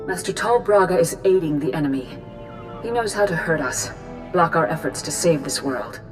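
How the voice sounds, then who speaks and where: A woman playing a stern, grave Jedi grand master in a video game, measured and authoritative. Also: low-pitched, lively intonation. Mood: grave, urgent. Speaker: military and spiritual leader. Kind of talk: dramatic scripted game acting. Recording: music behind the voice.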